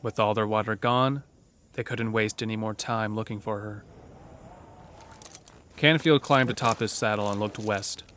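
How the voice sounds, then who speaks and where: A man's voice: lowish voice, steady tone, unhurried, pauses often, a bit bright, slight background noise. A man narrating a scripted western horror story for an audio drama.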